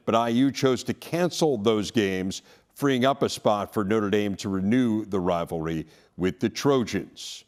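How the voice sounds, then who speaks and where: A man with an American accent hosts a sports program from a studio. His voice is of average male pitch. He speaks in the style of a broadcast presenter and interviewer. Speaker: sports studio show host.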